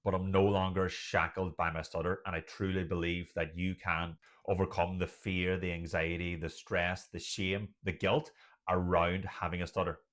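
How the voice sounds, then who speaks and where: A man's voice: low-pitched, flat delivery, moderate pace, a bit bright. An Irish man speaking as a coach, giving motivational advice in an earnest, emphatic way with listed, repeated phrases. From Ireland. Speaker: doctor and stutter coach.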